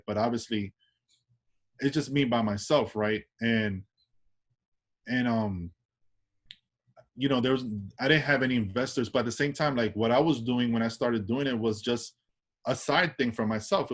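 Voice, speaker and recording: A man's voice: medium pitch, moderate pace. A man from Washington Heights with a New York accent, an artist chatting casually in an interview, loose and full of restarts and tag questions. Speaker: artist guest.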